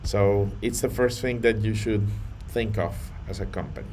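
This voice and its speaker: A man from Colombia, earnest and measured, talking to camera with a few fillers and restarts. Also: lowish voice, darkish tone, a little hiss or hum. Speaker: vlogger on data careers. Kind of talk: to-camera talk.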